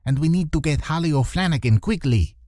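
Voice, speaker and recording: A man's voice: a little high, animated, fairly quick, warm tone, dry recording, clean studio sound, pitched above the performer's own voice, lightly acted. A man voicing a short, sinister, scheming wizardly man in a children's audiobook, urgent and conspiratorial.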